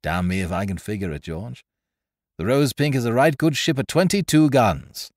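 A man's voice: medium pitch, lively intonation, normal speed, darkish tone, dry recording, studio quality, pitched above the performer's own voice, natural voice. A man voicing a reckless, jovial male sea adventurer who speaks in swaggering, old-fashioned seafaring talk. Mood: scheming. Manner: swaggering, archaic seafaring speech.

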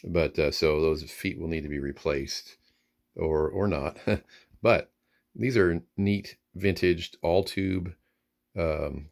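Low-voiced man giving a casual demo. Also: creaky. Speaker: presenter demonstrating vintage radio gear. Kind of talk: casual unscripted product demonstration. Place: indoors, radio workbench.

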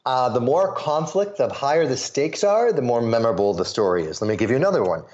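A man raised near Chicago answers interview questions with lively, well-practiced stories, slipping into other people's voices as he quotes them. His voice sits in a middle pitch, and the recording sounds a little dull and lo-fi. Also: fast. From suburbs of Chicago, US Midwest. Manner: animated storyteller, acts out quoted voices. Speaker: guest, sales pitch coach.

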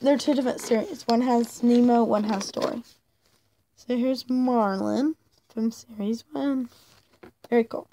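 A woman's voice: on the high side, measured pace, long pauses, a little hiss or hum. A woman with a cold, chatting upbeat as she opens toy figure packs and names each one. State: has a cold, losing her voice. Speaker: toy unboxing vlogger. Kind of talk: casual unboxing chat. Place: indoors, toys on a pink blanket. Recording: loud plastic crinkling close by.

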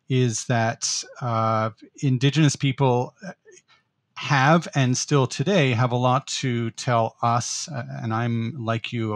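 A middle-aged man, born in the Netherlands, co-hosting a podcast as a professor, speaking thoughtfully with many pauses and restarts. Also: medium pitch, no echo. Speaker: podcast co-host, political science professor. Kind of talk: casual conversational podcast.

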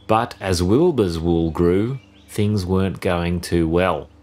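A man narrating a rhyming children's story in an expressive, measured storytelling style. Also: deep voice. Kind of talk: scripted rhyming children's story read-aloud.

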